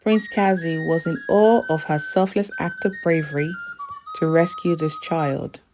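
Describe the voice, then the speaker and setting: A woman reading a scripted folktale as a storyteller, in a measured storybook delivery. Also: low-pitched, music in the background.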